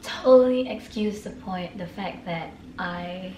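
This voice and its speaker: A woman from Singapore with a hoarse, raspy voice from a sore throat, chatting casually through her nightly skincare products and often clearing her throat. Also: mid-range voice, slow pace, echoey room, noisy background. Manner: chatty, filler-heavy, keeps clearing throat. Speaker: beauty vlogger. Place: room.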